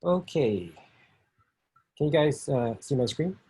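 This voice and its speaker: A middle-aged man from Singapore with a Singaporean accent, giving a talk over a video call, halting with many fillers and restarts. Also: on the high side, lively intonation, mellow, slightly rough. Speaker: guest speaker.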